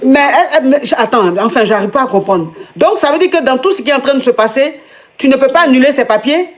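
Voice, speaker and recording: A woman's voice: sits high, lively intonation, fairly quick. A woman with an Ivorian French accent hosts a live call-in advice chat, asking many questions and stammering repeats in disbelief. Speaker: host and coach.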